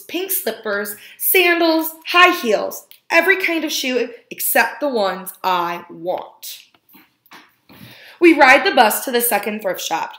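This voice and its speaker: A woman with a slightly high voice reads a children's storybook aloud. She leans on key words with deliberate, dramatic emphasis. The recording is roomy and clearly echoing. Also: fairly bright tone. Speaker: teacher reading a picture book aloud. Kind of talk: expressive read-aloud storytelling of a children's book. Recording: roomy, echoing indoor sound.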